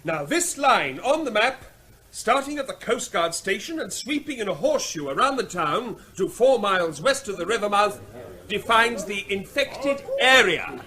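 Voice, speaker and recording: A man's voice: sits high, big pitch swings, normal speed, pushed and bright, exaggerated voice. An actor playing a firm male veterinary officer briefing a restless public meeting, raising his voice over hecklers in a vintage radio drama. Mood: insistent.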